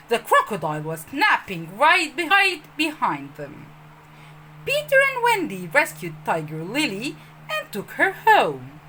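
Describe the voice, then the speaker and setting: A woman storyteller narrating a children's storybook with dramatic, expressive emphasis. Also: sits high, slight background noise, rough recording. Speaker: storyteller, teacher.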